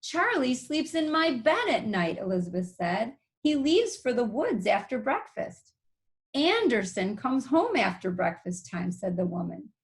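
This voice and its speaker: A woman teacher reading a picture book aloud, pausing to explain things simply to young listeners. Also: fairly high pitch, big pitch swings.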